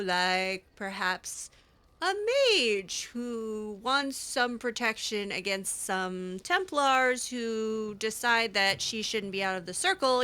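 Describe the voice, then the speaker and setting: A woman's voice: on the high side, pushed and bright. A woman improvising in-character roleplay as she plays a game, chatty, hesitant and wry. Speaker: gaming channel host roleplaying a mage character.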